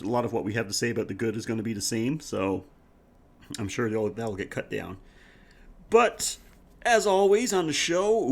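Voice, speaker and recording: A man hosting a podcast, chatting casually about film in an enthusiastic, rambling way. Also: medium pitch. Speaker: podcast host. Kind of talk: casual film review chat.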